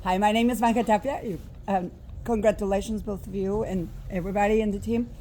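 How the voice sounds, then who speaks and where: A woman's voice: mid-range voice, steady tone, fast, warm tone, slight background noise. A woman in the audience asking a question at a festival Q&A, hesitant and restarting often.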